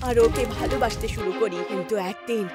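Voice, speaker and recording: A woman's voice: on the high side, fast, lightly acted. A woman voicing a vengeful, wronged ghost of a young wife, accusing and dramatic, in a horror story. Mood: bitter, aggrieved. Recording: loud music behind the voice.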